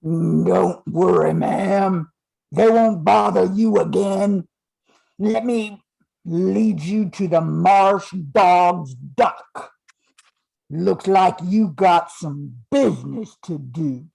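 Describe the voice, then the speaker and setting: An older woman playing a polite, unhurried swamp monster in an amateur radio play, with a slow courteous drawl. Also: lowish voice, mellow, heavily acted, low-quality recording. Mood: calm, reassuring. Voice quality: husky, creaky.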